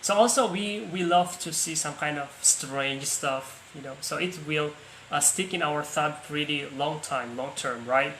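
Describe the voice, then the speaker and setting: Guy chatting online, bright voice, stumbles over phrases, bit of background noise. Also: on the high side, a little slow. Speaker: online voice chat participant. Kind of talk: casual chat. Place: online voice chat. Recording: echoey room, faint noise.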